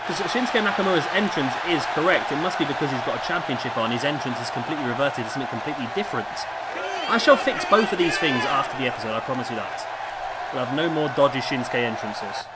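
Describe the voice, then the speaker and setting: A hoarse man with a sore throat giving casual play-by-play commentary as host of a wrestling game video. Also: mid-range voice, lively intonation, quick pace, a loud crowd. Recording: clearly noisy home recording.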